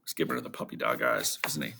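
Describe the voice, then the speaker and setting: A man reading a children's storybook aloud to schoolchildren in an animated narrating style. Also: fast talker.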